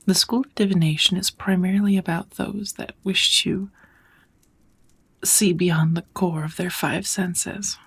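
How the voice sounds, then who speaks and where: A woman playing a kind, patient witch teacher in an ASMR roleplay, speaking gently in measured, encouraging phrases that often slip into whispers. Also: lowish voice, steady tone, full character voice. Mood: gentle, instructive. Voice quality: soft, breathy. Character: kind, patient witch mentoring a dear apprentice. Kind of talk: ASMR roleplay, second-person scripted teaching.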